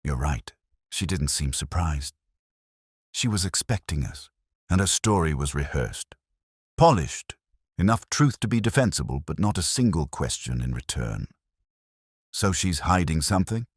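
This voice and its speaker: Man playing inquisitive police sidekick, low husky voice, plain-spoken, animated, mystery story. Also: measured pace, no room sound, studio quality, exaggerated voice. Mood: keen. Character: keen, questioning male detective sidekick.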